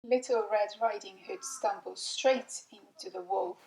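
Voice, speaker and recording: A woman with a gravelly, husky voice giving scripted storybook narration for children. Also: fairly high pitch, big pitch swings, a little slow, darkish tone, slight background noise, poor audio.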